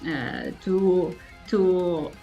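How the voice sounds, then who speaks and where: Slow, halting Italian woman interviewer. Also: lowish voice, muffled recording, faint music behind. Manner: halting, searching for words. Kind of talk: interview questions.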